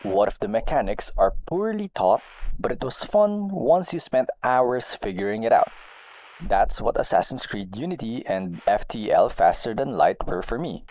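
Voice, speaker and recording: A man reading a personal essay aloud as a video game blogger, in an expressive, deliberate way. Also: medium pitch, poor audio.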